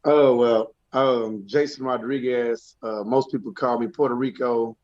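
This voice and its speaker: A man introducing himself in a relaxed, hesitant way with many ums. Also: mid-range voice, unhurried. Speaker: guest, DJ. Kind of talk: casual interview chat. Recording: dull lo-fi sound.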